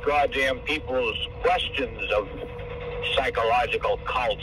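A man's voice: mid-range voice, a little slow. Older man rambling on a distant phone call. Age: older, 65 or over. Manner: rambling, hard to follow, profane. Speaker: caller. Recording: distant phone call with faint car noise.

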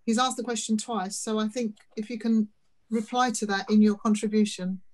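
A woman's voice: mid-range voice, fairly even intonation, normal speed, a little mellow. A woman moderating an online panel, stepping in politely and apologetically to pass on audience questions over a video call.

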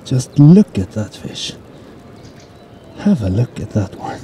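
A man in his thirties giving excited, laughing commentary while fly fishing at a lake in a city park, filmed by a camera some distance away. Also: medium pitch, takes it slowly. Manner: excited, laughing, exclaiming over the fish. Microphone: camera mic from a distance. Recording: outdoor ambience noise. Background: outdoor ambience.

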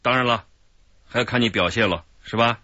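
A man's voice: medium pitch, a little fast, sharp tone, no room sound, natural voice. A man voicing a stern middle-aged officer questioning a young thief in a radio drama, dry and mocking. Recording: dull lo-fi radio drama audio.

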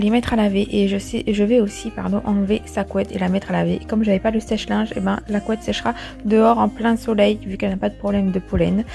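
A woman with a soft, mellow tone and a medium pitch narrates a casual vlog. She explains each step in a chatty, practical way. Light music plays behind her voice. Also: vocal fry. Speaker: vlogger, host. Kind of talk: casual cleaning vlog voice-over. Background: soft background music.

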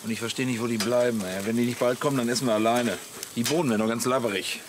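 A man's voice: medium pitch, steady tone, very fast, noisy background, natural voice. A man playing a grumpy, stubborn family father in a German comedy radio play, grumbling with blunt sarcasm. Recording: clear outdoor ambience with animals behind.

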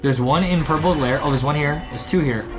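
A man in his twenties doing excitable gameplay commentary, repeating phrases and addressing the viewers as he hosts. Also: fairly high pitch, fairly quick. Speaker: gaming video host. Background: music.

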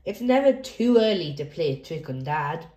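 A woman's voice: low-pitched, big pitch swings, normal speed, a little mellow, echoey room. A woman hosting a children's read-aloud, reading a picture book expressively for young listeners.

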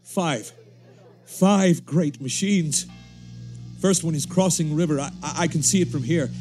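An actor playing an urgent, stammering radio reporter watching an invasion, in 1930s radio drama style. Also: male voice, on the high side, a little slow, darkish tone, soft background music, natural voice. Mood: alarmed. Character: panicked male radio reporter witnessing an invasion.

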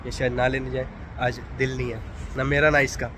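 A man's voice: mid-range voice, flat delivery, fairly quick. A young man chatting casually into his phone as he walks around the city, upbeat and talkative. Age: young adult. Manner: chatty, upbeat narration to camera. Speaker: vlogger. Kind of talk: casual travel vlog. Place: outdoors on city streets. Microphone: phone held at arm's length. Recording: noisy outdoor street recording. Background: traffic and street noise.

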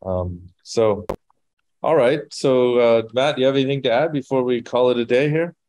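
A man hosting a podcast over a video call, talking in a relaxed, conversational way. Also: mid-range voice, normal speed, no room sound. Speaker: podcast host. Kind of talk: casual chat.

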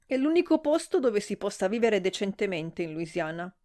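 A woman voicing a brash, gossipy middle-aged woman who speaks in shrill, exclamatory outbursts. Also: big pitch swings, normal speed, mellow, close to an everyday voice.

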